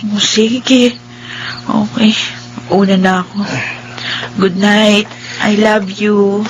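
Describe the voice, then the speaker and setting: A Filipino woman acting in a radio drama as a blind young wife, gentle, affectionate and apologetic. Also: medium pitch, little pitch movement, very slow, background noise. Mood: hurt, pleading.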